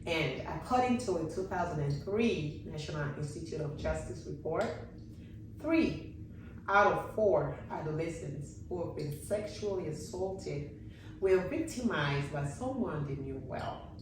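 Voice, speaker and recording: A woman hosting a talk show, her voice fairly low and set back from the mic. The room around her rings with a heavy echo. She asks gentle questions and adds soft listening sounds. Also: lively intonation, a little slow, recorded from a distance, noisy background. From Sierra Leone. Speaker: host.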